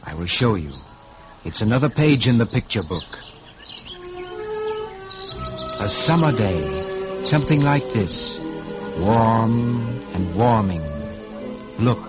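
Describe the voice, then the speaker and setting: Solemn, all-knowing spirit of Tomorrow, muffled. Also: male voice, on the low side, lively intonation. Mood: knowing, calm. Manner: measured, solemn, poetic narration of visions. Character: personified Tomorrow, all-knowing, calm, unyielding male guide. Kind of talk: old-time radio drama acting. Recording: muffled vintage radio recording with music behind.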